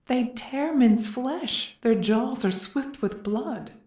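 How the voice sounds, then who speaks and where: Woman as slow, earnest tragic chorus member, echoey. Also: fairly high pitch, lively intonation, darkish tone. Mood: grave, questioning. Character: solemn, anxious chorus member of a Greek tragedy. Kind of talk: classical verse drama reading. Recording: echoey room.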